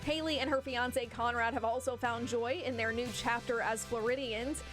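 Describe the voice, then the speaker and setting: A woman's voice: fairly high pitch, fairly even intonation, normal speed, over music. A woman with an American accent reading scripted narration as a television news feature reporter.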